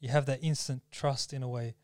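Fast, smooth-voiced Australian young man. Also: medium pitch, no echo. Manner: animated, says bro and man a lot. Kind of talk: casual podcast chat.

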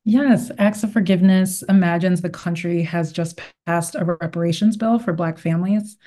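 A woman novelist with a soft-edged, very mellow voice that sits on the low side. She speaks as a guest author, presenting and reading her own fiction. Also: steady tone, normal speed. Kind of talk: interview talk and read-aloud of literary fiction.

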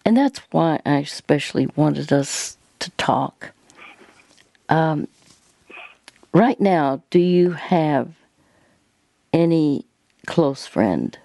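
An older woman hosting a radio counseling show, speaking gently and haltingly with many pauses and fillers. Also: deep voice, expressive, gravelly, no echo.

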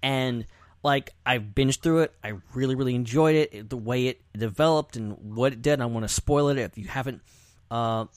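A man hosts a podcast in an informal, conversational way, with rambling enthusiasm. His mid-pitched voice is recorded dry, with very little room sound. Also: normal speed, dry recording. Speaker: podcast host. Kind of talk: casual podcast chat.

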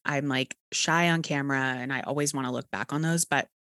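A woman's voice: rumbling low, fairly even intonation, a little fast, fairly bright tone, dry recording, studio quality. A woman with a Texas accent hosting an interview, talking candidly and encouragingly, with the odd casual swear. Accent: Texan. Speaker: podcast host.

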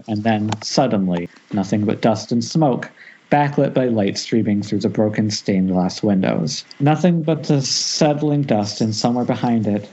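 A man's voice: lowish voice, mellow, a little hiss or hum. A man reading screenplay stage directions as narrator at a table read, now and then stumbling over words. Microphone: Skype call. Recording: dull internet call.

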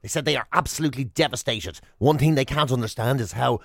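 A man's voice: medium pitch, quick pace, creaky, dry recording, studio quality. An Irish man co-hosting comedic radio banter, telling stories in a mock-earnest way. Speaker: radio show co-host. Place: radio studio.

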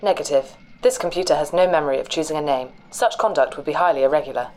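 A woman's voice: low voice, quick pace, darkish tone, lightly acted. A woman voicing an emotionless, literal ship's computer in a sci-fi audio drama, with clipped and formal robotic delivery. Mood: flat, impersonal.

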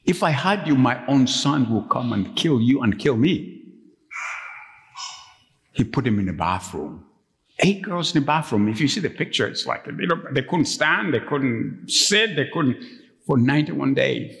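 A middle-aged man from Uganda preaching a sermon as pastor, telling stories and jumping up to high, emphatic peaks when stirred. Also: very animated, unhurried, gravelly, fairly bright tone. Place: church. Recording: long reverb of a church.

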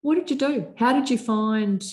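A woman's voice: on the high side, normal speed. A woman interviewing a guest, probing and rephrasing her questions as she goes. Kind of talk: conversational interview.

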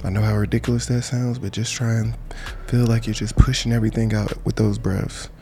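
A man's voice: on the low side, flat delivery, fairly quick, creaky, mellow, a little hiss or hum. A man guiding a meditation with informal prayer in a casual, colloquial, encouraging way. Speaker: meditation guide. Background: music behind the voice.

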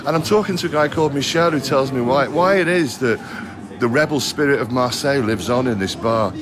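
A man's voice: mid-range voice, brisk, noisy background. Chatty Scouse man presenting a travel show. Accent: Liverpool (Scouse). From Liverpool, England. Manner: chatty, direct address to viewers. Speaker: documentary presenter.

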